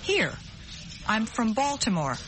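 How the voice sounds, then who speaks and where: A woman's voice: a little high, very animated, takes it slowly, fairly bright tone, a little hiss or hum, heavily acted. A woman playing a light, chatty waitress in a scripted language-course dialogue.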